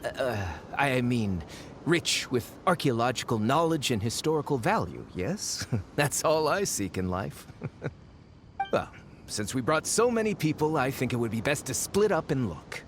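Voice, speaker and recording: A man's voice: mid-range voice, big pitch swings, slight background noise. An actor playing a greedy, boastful male fake archaeologist with a sly, wheedling way of talking, in a game cutscene. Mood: scheming. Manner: sly, wheedling, quick to cover himself.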